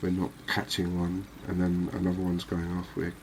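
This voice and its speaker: A soft-spoken man giving unhurried, soothing ASMR commentary over a video game. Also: deep voice, completely flat delivery, a little hiss or hum. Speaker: gaming video host.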